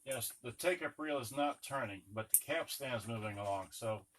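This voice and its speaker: A man's voice: medium pitch, moderate pace, a bit bright. A man giving a casual hands-on demonstration, muttering to himself as he works. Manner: muttering to himself while working. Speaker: electronics unboxing presenter. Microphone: camera on a tripod. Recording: noisy room recording with clicks and handling.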